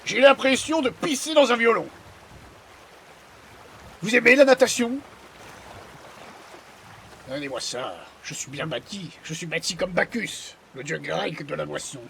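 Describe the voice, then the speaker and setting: A man's voice: high voice, very animated, measured pace, vocal fry, long pauses, fairly bright tone, slight background noise, exaggerated voice. A man voicing a cowardly, boastful swindler in an improvised game roleplay, whining and pleading theatrically. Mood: smug, boastful. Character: shrill, cowardly charlatan, boastful, melodramatic male swindler.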